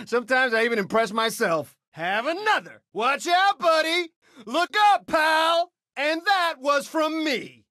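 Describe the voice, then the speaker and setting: An actor playing a cocky, friendly young resistance pilot in a video game, breezy and wisecracking. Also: male voice, extremely high pitch, lively intonation, a little slow, bright, forceful tone, no echo, studio quality, heavily acted. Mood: excited, urgent. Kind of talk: video game character voice clips.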